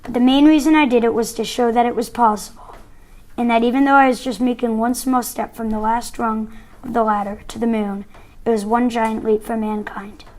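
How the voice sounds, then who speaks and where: A woman's voice: on the high side, fairly even intonation, brisk, a little mellow, close to an everyday voice. A young woman playing a proud male astronaut, reading steadily. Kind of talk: school-project role-play reading.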